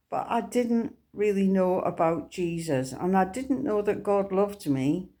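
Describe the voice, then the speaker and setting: Slow-spoken Scottish woman sharing testimony. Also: mid-range voice, mellow. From Scotland. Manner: earnest, unhurried storytelling. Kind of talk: personal faith testimony.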